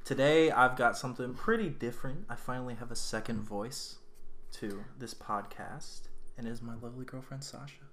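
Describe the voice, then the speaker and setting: A man's voice: mid-range voice, normal speed, a bit bright, slight background noise. A young American man hosting a podcast, chatting loosely with jokes and casual swearing. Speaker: podcast host. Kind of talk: casual chat.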